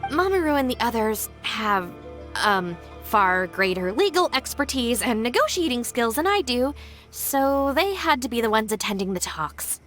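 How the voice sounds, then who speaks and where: A woman playing a composed, stubborn young gang deputy in a video game, speaking in formal, measured phrases. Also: a little high, big pitch swings, fairly bright tone, faint music behind. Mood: formal, evasive. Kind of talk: scripted video game voice acting.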